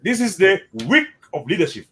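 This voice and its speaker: Animated South Sudanese man, high lively voice. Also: brisk, soft background music. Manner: animated, repeats words, mixes in Arabic phrases. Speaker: interviewee. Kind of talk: casual spoken commentary.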